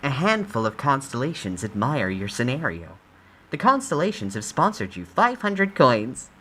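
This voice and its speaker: A woman's voice: a bass voice, big pitch swings, moderate pace, a bit bright, a little hiss or hum, exaggerated voice. A woman voicing an impersonal game-like system that makes formal, detached announcements in a dramatic story reading.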